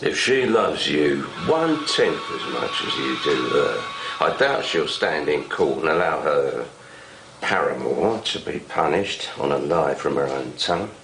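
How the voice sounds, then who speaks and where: An actor playing a philosophical, chilling prisoner and confessed murderer, with gravelly, formal, deliberate speech. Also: male voice, a bass voice, animated, noisy background, heavily acted. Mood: measured, knowing. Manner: formal, literary, deliberate wording. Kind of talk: screen drama acting.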